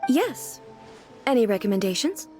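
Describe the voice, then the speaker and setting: A woman's voice: fairly high pitch, big pitch swings, moderate pace, a little mellow, faint music behind. A woman playing a composed, curious ruling goddess in a video game, speaking formally and thoughtfully. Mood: composed, intrigued. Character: regal female ruling deity, composed, curious, naively earnest. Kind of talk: video game character acting.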